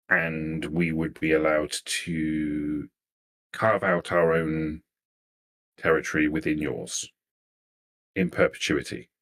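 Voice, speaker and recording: A man acts out a poised vampire noblewoman in a tabletop game. The voice sits low and chooses words formally and deliberately, polite with cool menace underneath. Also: heavily acted. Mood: measured, considering. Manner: formal, measured, deliberate diction. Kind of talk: improvised tabletop roleplay.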